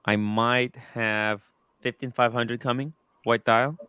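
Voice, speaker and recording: A man in his early thirties hosts a casual chat, talking in an excitable rush that breaks into restarts and swearing. His pitch sits in a middle range. The sound is dry and close. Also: slow pace, clear, resonant tone, no room sound. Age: 30s. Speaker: host.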